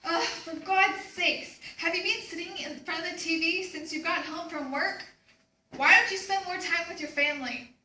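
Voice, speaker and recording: A woman's voice: very high-pitched, normal speed, bright, forceful tone, heavily acted. A woman playing a busy, sardonic, exasperated mother in readers theater. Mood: fed up. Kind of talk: readers theater comic stage acting. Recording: echoey room, distant mic, faint noise.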